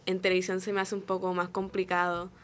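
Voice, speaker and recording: A woman's voice: fairly low pitch, completely flat delivery, fast, fairly bright tone, slight background noise. A young woman giving straightforward, explanatory answers as a guest in a conversational interview. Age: young adult. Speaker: interview guest, radio production student.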